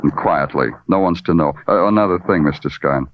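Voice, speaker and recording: A man acting as a hard-boiled American police detective in a vintage radio crime drama. His voice sits low, and he talks in a deadpan, clipped, sardonic way. The old recording is muffled. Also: brisk, slight background noise, natural voice. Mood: brisk, probing.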